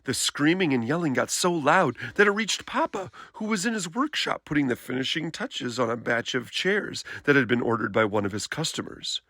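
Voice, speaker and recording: A man reading a children's picture book aloud in an expressive storytelling style. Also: fairly high pitch, moderate pace, hardly any pauses, dry recording, clean studio sound.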